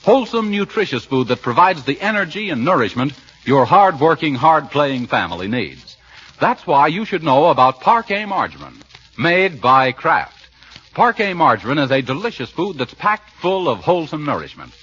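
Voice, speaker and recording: A man's voice: fairly high pitch, very animated, moderate pace, fairly bright tone, muffled recording. A man announcing for 1940s radio in a polished, earnest, scripted sales style. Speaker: radio announcer and sponsor spokesman. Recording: old 1940s radio broadcast, band-limited, slightly husky.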